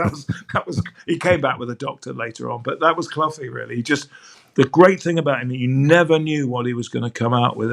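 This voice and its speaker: A man's voice: mid-range voice, a bit bright. An older man, a veteran sports broadcaster, telling fond anecdotes in a chatty interview, often restarting his sentences.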